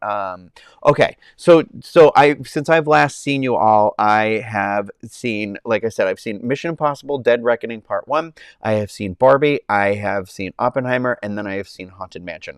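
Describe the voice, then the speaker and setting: A man's voice: medium pitch, smooth, no room sound, clean studio sound. A man hosting a casual live stream, nasal and congested from a summer cold, rambling with lots of ums and restarts. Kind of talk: casual chat with live chat viewers. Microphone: clip-on mic under his shirt.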